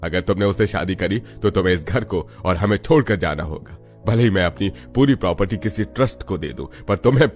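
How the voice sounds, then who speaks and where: A man plays a stern, proud rich father in a cartoon melodrama, scolding and threatening. His voice is low, with light music behind. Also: brisk, hardly any pauses, a little mellow, lightly acted. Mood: angry, stern. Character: stern, proud wealthy father of a grown daughter.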